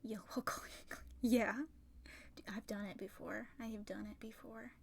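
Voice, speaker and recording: Young woman with a creaky, slightly raspy voice. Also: medium pitch, fairly even intonation, moderate pace. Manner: rambling, frequent swearing. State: tired, sore throat from long talking. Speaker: art streamer. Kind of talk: casual livestream chat while drawing.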